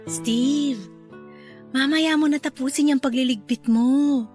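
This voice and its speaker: A woman's voice: high-pitched, fairly even intonation, takes it slowly, darkish tone, faint music behind. A woman playing a brazen, clingy, seductive mistress in Filipino radio drama, with a coaxing, theatrical delivery. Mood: coaxing, flirty.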